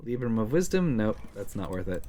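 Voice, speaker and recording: A man giving casual strategy commentary on a card game, thinking out loud in musing asides. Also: fairly low pitch, very animated, a little fast. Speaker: game streamer. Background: game music.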